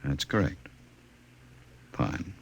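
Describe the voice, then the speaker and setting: A middle-aged man acting in a 1950s radio drama as a smooth, calculating government director, speaking formally and with measured authority. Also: lo-fi sound, very noisy. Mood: cold, commanding.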